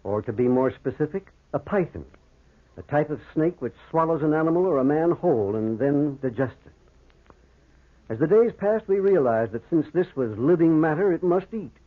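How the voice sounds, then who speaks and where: Tinny vintage radio earnest doctor. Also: male voice, on the high side, lively intonation, moderate pace. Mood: grave narration. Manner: precise, formal, grave. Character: earnest, educated male doctor recounting a horror. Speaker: actor and first-person narrator. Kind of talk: old-time radio horror drama acting.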